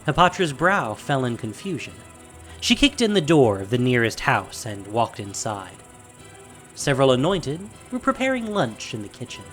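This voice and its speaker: Animated male fantasy narrator. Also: mid-range voice, poor audio. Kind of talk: scripted dramatic fantasy narration.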